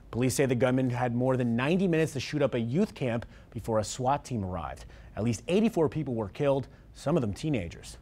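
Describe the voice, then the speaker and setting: A man's voice: mid-range voice, a little mellow. A man with an American accent reading scripted news as an anchor.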